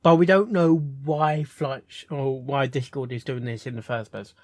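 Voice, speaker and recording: A man giving casual, unscripted reaction commentary as a host, halting and rambling, often repeating words and phrases. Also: mid-range voice, fairly even intonation, darkish tone, dry recording, clean studio sound. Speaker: host reviewing fan fiction readings.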